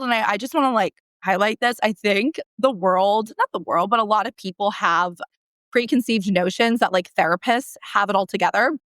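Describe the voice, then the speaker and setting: A woman's voice: medium pitch, fairly bright tone, dry recording, clean studio sound. American woman in her thirties, rambling and conversational. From San Diego, California, United States. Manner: rambling, self-correcting, emphatic repetition. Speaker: guest, therapist. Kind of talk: casual conversational interview.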